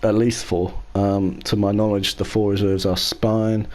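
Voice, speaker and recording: A man co-hosting a casual racing podcast, talking in a measured way and softening his opinions as he goes. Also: lowish voice, flat delivery. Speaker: podcast co-host and league racer.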